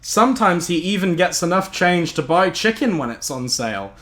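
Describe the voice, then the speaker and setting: Bright, animated man hosting story time. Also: high voice, moderate pace. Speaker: host reading a story aloud. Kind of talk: story reading mixed with casual banter among friends.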